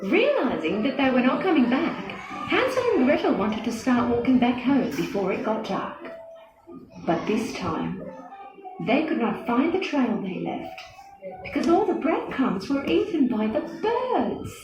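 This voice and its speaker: Expressive, lilting woman storyteller in echo. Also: fairly high pitch, measured pace, lo-fi sound. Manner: expressive, dramatic. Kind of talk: scripted children's story narration. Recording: echoey with music behind.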